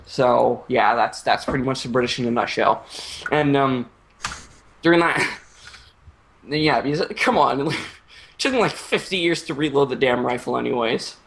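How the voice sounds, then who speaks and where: A young man improvising a comic story in a casual, halting, rambling way with crude slang. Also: mid-range voice, gravelly, a bit bright, slight background noise.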